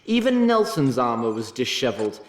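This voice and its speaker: A man narrating a scripted fantasy story in a measured, dramatic manner with an occasional wry touch. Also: mid-range voice, big pitch swings, reverberant, long reverb tail.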